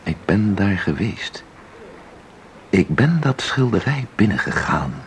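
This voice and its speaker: A man's voice: low voice, very animated, slow pace, a little hiss or hum, heavily acted, low-quality recording. An actor playing an intense, mysterious man in a radio drama, speaking with insistent, theatrical deliberation. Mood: dreamy, fervent. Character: intense, mysterious man who claims to enter paintings. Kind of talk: Dutch radio drama acting.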